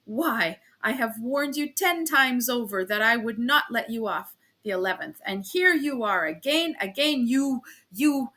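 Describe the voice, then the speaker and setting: A woman voicing a loud-mouthed, blustering male police officer who scolds and shouts. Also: a little high, expressive, unhurried, hardly any pauses. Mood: scolding.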